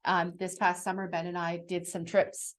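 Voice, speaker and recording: Explanatory woman with a slightly low voice. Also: little pitch movement, normal speed. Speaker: parent sharing parenting strategies as co-host. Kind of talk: conversational explaining.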